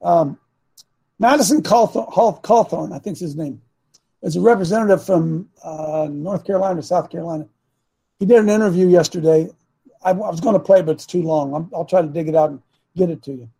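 A raspy older man holds forth as a talk show host, rambling and preaching between throat clears. His voice sits high for a man but sounds dark and husky, with a gravelly, phlegmy edge. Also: fairly quick, low-quality recording. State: phlegmy, coughing. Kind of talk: casual political commentary.

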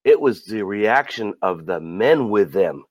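A man with a cold, his voice raspy, chiming in bluntly as a caller. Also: on the low side, animated, unhurried, darkish tone, no echo, highs cut off. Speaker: caller, ministry partner.

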